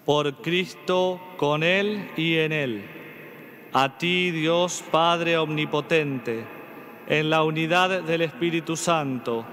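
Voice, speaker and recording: A man in his thirties with an Argentine accent, a priest slowly reciting mass prayers at the altar. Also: a little high, fairly bright tone, slight background noise. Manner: slow solemn recitation. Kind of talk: liturgical prayer. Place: large church. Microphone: stand mic at altar. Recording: long church reverb.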